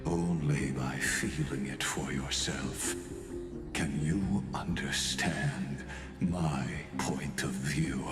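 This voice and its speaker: A man's voice: very deep voice, steady tone, drawn-out words, full character voice. A man playing a coldly patient imprisoned genius villain in a video game, speaking in theatrical, pompous monologues. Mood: calm, menacing. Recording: echoing voice with game music behind.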